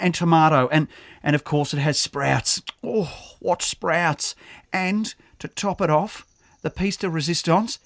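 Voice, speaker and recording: A man playing a rambling, easily distracted food lover in an audio drama, halting and savouring his words. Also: a little high, dry recording, studio quality, natural voice.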